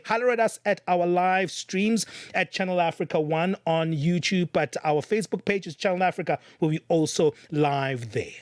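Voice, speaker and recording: A man's voice: high voice, no room sound, studio quality. A man hosting a live radio talk show in a conversational style. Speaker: radio host. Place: radio studio.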